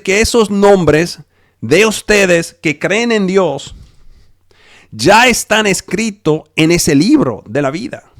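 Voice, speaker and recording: A man with a somewhat high voice delivers a sermon in a slow, deliberate cadence, restarting words now and then. Spanish is not his first language, and he talks through a video call. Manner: slow, emphatic preaching with frequent restarts. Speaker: pastor.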